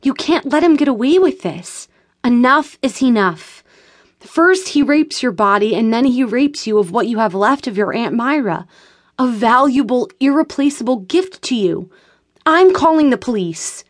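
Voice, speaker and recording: A woman's voice: fairly high pitch, animated, takes it slowly, a bit bright, no room sound, clean studio sound, pitched above the performer's own voice, full character voice. A woman reading an audiobook voices an indignant, protective friend who speaks firmly and with emphasis.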